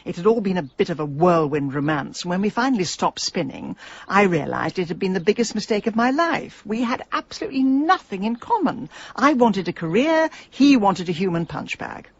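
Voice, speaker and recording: A woman playing a headstrong, middle-aged English businesswoman in a radio comedy-drama, sardonic, brisk and stubborn. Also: medium pitch, big pitch swings, husky, lo-fi sound. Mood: wry, bitter. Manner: brisk, dry, exasperated.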